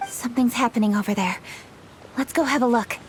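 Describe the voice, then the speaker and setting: A woman voicing a composed, dutiful young noblewoman official in a video game, with a crisp, authoritative, courteous manner. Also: fairly high pitch, brisk, slight background noise, natural voice. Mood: focused.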